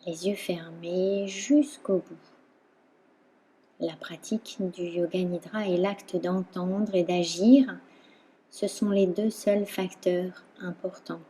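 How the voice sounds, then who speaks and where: Calm lady doing guided meditation, really slow w long pauses, super warm dark voice. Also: on the low side, breathy edge, rough recording. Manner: calm, soothing, with long pauses between phrases. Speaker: yoga nidra instructor.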